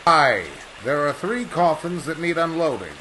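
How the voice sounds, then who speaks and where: A man's voice: a little high, expressive, normal speed, sharp tone, a little hiss or hum. A man playing a proud, curt aviator in old-time radio style, with formal, old-fashioned diction. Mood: weary triumph. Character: proud male aviator home from three lost years, curt, formal. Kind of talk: 1940s radio acting.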